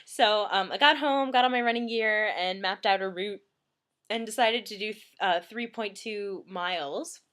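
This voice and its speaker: A woman's voice: mid-range voice, normal speed, fairly bright tone. A young woman in her twenties chatting casually from bed in a vlog, slightly congested, full of ums and little laughs. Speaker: vlogger. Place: bedroom, in bed.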